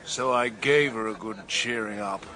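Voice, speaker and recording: A boastful, bright-voiced young toff. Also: male voice, fairly low pitch, normal speed, slight background noise, poor audio. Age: young adult. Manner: boastful, laddish. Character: boastful, dim young upper-class Englishman. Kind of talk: comic radio drama acting.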